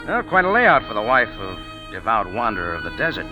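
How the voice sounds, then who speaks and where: A tough, wisecracking American adventurer in an old radio drama, with music behind him. His delivery is animated, moving freely between dry slang and punchy insistence. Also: lowish voice, a little fast. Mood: wry narrating. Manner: hard-boiled, slangy, wisecracking. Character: wry, tough American adventurer and first-person detective narrator. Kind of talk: 1940s radio acting. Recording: vintage 1940s radio broadcast with music.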